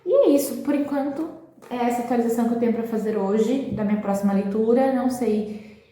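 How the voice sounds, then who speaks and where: A woman's voice: fairly high pitch, a little fast, mellow. Chatty Brazilian woman in an echoey room. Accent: Brazilian Portuguese. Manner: chatty, often tags sentences with a questioning "right?". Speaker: book vlogger. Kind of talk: casual reading-update vlog. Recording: very echoey room, faint background hiss.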